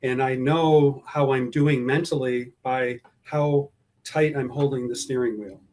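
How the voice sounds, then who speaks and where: A man being interviewed about his anxiety, speaking hesitantly with frequent pauses and fillers. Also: mid-range voice, steady tone, a little mellow. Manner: hesitant, many fillers and restarts. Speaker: guest describing his driving anxiety. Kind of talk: personal account in an interview.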